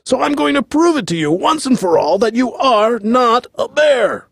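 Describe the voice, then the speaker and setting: Man playing a pompous, high-pitched company president. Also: wildly swooping pitch, normal speed, creaky, husky, no echo, studio quality, heavily acted. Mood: exasperated. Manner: pompous, emphatic. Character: pompous, exasperated male company president, lecturing and condescending.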